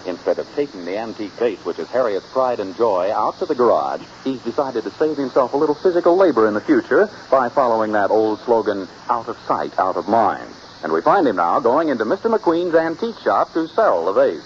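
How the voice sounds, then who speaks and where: A man announcing in a polished, scripted 1940s radio style, with deliberate salesmanship. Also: mid-range voice, big pitch swings. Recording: dull old radio recording, faint noise.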